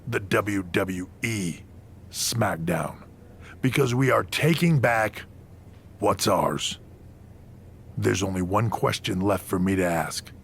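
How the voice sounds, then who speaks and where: An actor playing a retired wrestler turned company boss, stern and resolute, giving a measured promo with dramatic pauses in a video game. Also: male voice, lowish voice, gravelly, a little hiss or hum.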